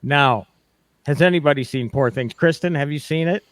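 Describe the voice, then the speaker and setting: A talkative man with a fairly high voice, chatting on a radio show. He keeps restarting his sentences and talks it all through. The studio sound is dry and close. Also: moderate pace, no echo. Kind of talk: casual radio chat.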